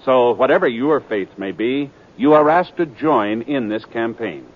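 A man's voice: medium pitch, animated, a little slow. An American man giving formal, scripted announcements in 1950s radio style. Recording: muffled 1950s radio recording. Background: theme music.

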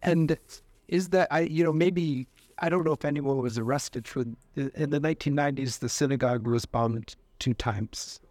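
A man's voice: a little high, moderate pace, a little mellow, no room sound. A man asking casual interview questions in a tentative, loosely phrased way.

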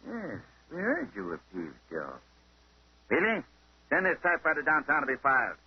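An American man playing a brisk, blunt older police inspector in the style of 1930s radio drama. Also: a little high, huge pitch swings, breathy edge, exaggerated voice. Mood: brisk, businesslike. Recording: dull old 1930s radio recording.

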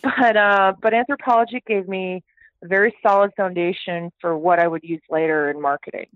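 A woman raised in Texas, born in Canada, chatting as an interview guest, talking in quick restarts and fillers. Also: lowish voice, steady tone, dry recording. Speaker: guest, startup CEO. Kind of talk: casual interview talk. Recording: tinny phone-like line.

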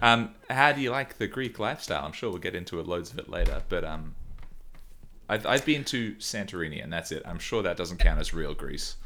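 A man's voice: sits low, animated, fairly quick, sharp tone. A man co-hosting a podcast in casual, joking, teasing banter over an internet call. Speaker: podcast co-host. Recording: below-par remote call, crowded background noise.

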